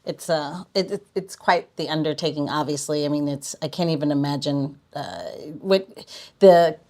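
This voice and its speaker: A woman's voice: low-pitched, normal speed, darkish tone. A woman hosting a podcast interview, chatting casually and often restarting her sentences. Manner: hesitant, many restarts. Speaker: podcast host.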